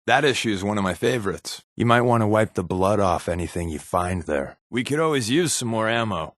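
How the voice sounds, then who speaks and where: A wisecracking American wasteland spy for a video game. His mid-pitched voice swings in lively melody through dry, sarcastic, easygoing quips. The recording is close and dry, with no room sound. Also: dry recording. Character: wisecracking male post-apocalyptic spy companion, sarcastic and easygoing. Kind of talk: video game companion voice lines.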